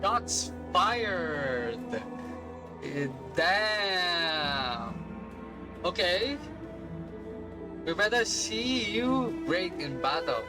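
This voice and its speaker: Slow-paced woman streamer reacting to a game. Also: lowish voice, sing-song. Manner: exclamatory, slangy reactions. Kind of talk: casual game reaction commentary. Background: game music.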